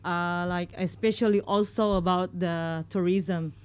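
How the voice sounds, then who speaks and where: A woman from Indonesia with an Indonesian accent, speaking as a radio guest in halting English. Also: lowish voice, steady tone, clean tone. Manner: halting, many fillers and restarts. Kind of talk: casual talk in English as a second language.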